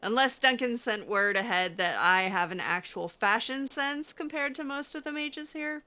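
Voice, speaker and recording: A woman's voice: fairly high pitch, animated, normal speed, sharp tone. A woman voicing a cheeky, skeptical female mage in casual, teasing improvised roleplay of a fantasy game. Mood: amused, teasing.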